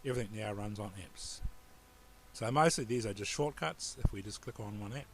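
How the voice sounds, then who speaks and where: A low-voiced man with a New Zealand accent walks through a computer tutorial in a relaxed, rambling way and often restarts his sentences. He speaks into a computer mic over a screen recording. A faint room hum sits behind his voice. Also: brisk. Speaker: tutorial presenter.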